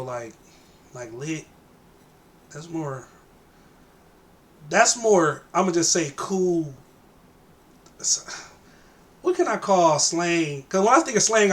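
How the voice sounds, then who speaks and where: A man's voice: fairly high pitch, lively intonation, slow, long pauses. A man in casual reaction chat, thinking aloud in a hesitant, slangy way. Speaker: reaction video co-host.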